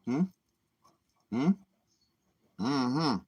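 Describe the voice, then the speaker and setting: Husky, swaggering trash-talking man. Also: medium pitch, extremely slow, a little mellow. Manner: swaggering, taunting, repeats himself. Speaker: YouTube channel host. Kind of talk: boastful trash-talking livestream monologue.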